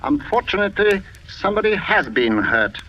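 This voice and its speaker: Forceful, probing police inspector. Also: male voice, high-pitched, sing-song, exaggerated voice. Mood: grave, probing. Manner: formal, deliberate, insinuating. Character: composed, probing police inspector, firm and methodical. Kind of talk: old-time radio drama acting. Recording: muffled old radio recording, faint noise.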